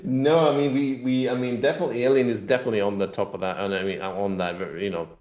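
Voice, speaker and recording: A man speaking as a game publisher in a casual interview, explaining things haltingly and often repeating words. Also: mid-range voice, fairly even intonation, a little mellow. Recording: echoey room.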